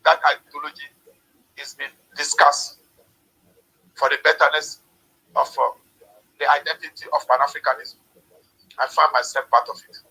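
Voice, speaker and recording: A man's voice: fairly high pitch, flat delivery, moderate pace, vocal fry, long pauses, sharp tone, breathy edge, poor audio. A man speaking at a Pan-African forum, giving a hesitant speech full of fillers, heard over a call.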